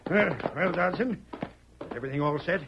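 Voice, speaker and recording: An actor playing a nervous, hesitant accomplice to a swindler in a radio western, stammering and excitable. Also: male voice, a little high, moderate pace, gravelly. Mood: puzzled, excitable. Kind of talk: 1940s radio western acting. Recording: dull, noisy old radio recording.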